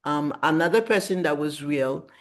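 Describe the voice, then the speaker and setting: A woman's voice: low-pitched, normal speed. Woman author, reflective storyteller. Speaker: author being interviewed. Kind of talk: casual conversational storytelling about writing.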